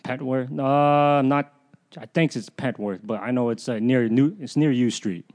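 Male podcast guest, rambling, halting delivery. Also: mid-range voice, darkish tone. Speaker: comedy producer, podcast guest. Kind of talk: casual podcast chat.

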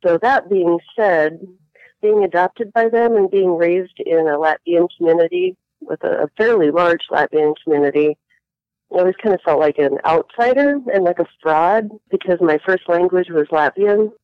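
Woman guest, smooth clear tone, thoughtful and halting, dry dull recording. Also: lowish voice, lively intonation, dry recording. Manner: reflective, hesitant with many fillers. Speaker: interview guest. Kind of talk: personal storytelling interview. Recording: dull lo-fi sound.

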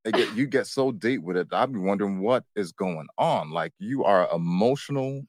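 A man's voice: on the low side, animated, normal speed, dry recording, studio quality. An American man co-hosting a couple's show in casual unscripted talk, firm but easygoing, often repeating how his sentences start. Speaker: co-host of a couple's relationship show.

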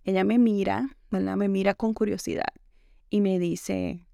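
A young woman hosts a self-help podcast, talking things over in a loose, conversational way and reaching for the same filler word again and again. Her voice is smooth and even, with a gravelly edge at the ends of phrases. The recording is dry and close, with no room sound. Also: medium pitch, unhurried, no room sound. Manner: conversational, leans on the filler "entonces" and slips in English words. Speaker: podcast host. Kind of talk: reflective self-help monologue.

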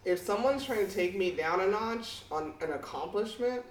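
A woman with a fairly deep voice co-hosts a casual podcast, speaking her mind bluntly and swearing freely. She sits a bit away from the mic, and the room gives her voice a noticeable echo. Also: lively intonation, normal speed, far from the mic, slight background noise. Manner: blunt, profane and emphatic. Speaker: podcast co-host.

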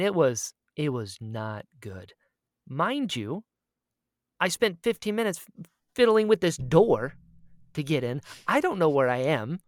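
A woman's voice: fairly low pitch, dry recording, studio quality. A woman co-hosting a podcast, telling a story casually and expressively, often acting out her own remarks. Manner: animated, expressive, quotes herself dramatically. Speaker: podcast co-host.

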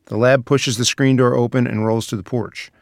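A man's voice: medium pitch, steady tone, moderate pace, vocal fry, dry recording, clean studio sound. A man reading scripted stage directions as the narrator of a screenplay table read.

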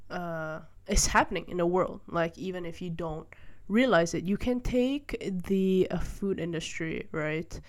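A young woman from Malaysia hosting a solo podcast, talking through her views in a thoughtful, hesitant way with many fillers and restarts, sitting by a window. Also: fairly low pitch. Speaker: podcast host. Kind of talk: casual solo monologue. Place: room by a window, rain outside.

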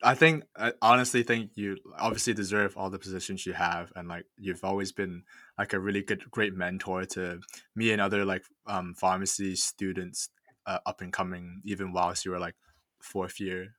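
Easygoing male podcast host, bright tone. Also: deep voice, level tone, moderate pace, no echo, clean studio sound. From Hong Kong. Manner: laid-back, chummy, slangy. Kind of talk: casual podcast conversation.

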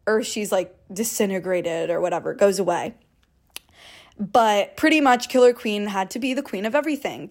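A young woman in her twenties with a low alto voice, hosting a casual solo podcast, chatty and sprinkling in "like" and swear words. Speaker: podcast host.

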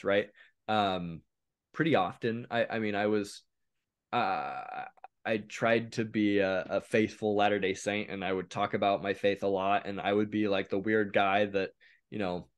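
Low-voiced man, slightly raspy from a cold. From eastern Oregon, USA. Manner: relaxed, hesitant with frequent ums. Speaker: guest podcaster. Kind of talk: casual interview chat.

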